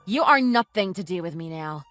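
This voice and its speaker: A woman's voice: on the low side, expressive, normal speed, fairly bright tone, no echo, soft background music. A woman playing an angry, resolute wife in a radio drama, with a firm and scornful manner. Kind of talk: dramatized story dialogue.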